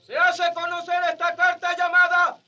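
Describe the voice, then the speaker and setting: An actor playing a formal messenger friar in a radio drama, solemnly proclaiming a letter, heard at a distance from the mic. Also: male voice, extremely high pitch, completely flat delivery, normal speed, sharp tone, highs cut off, exaggerated voice.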